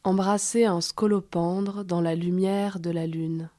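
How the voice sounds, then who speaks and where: A woman's voice: fairly low pitch, flat delivery, unhurried. A woman performing poetic scripted lines. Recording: noisy with clicks behind.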